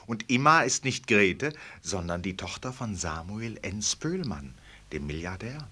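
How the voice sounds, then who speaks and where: An actor playing an urbane, ironic storyteller in a literary radio play, with a theatrical manner. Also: male voice, lowish voice, sing-song, unhurried, pushed and bright, slightly rough, full character voice. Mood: wry, musing. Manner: theatrical, wry, rhetorical questions. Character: urbane, ironic male storyteller who banters with the characters. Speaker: narrator.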